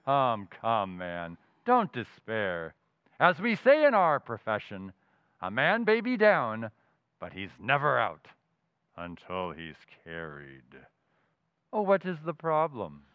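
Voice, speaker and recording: An actor playing a morbidly genteel undertaker in old-time radio comedy, with grandiose, drawn-out funereal delivery. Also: male voice, medium pitch, huge pitch swings, smooth, dry recording, lo-fi sound. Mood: morbidly droll.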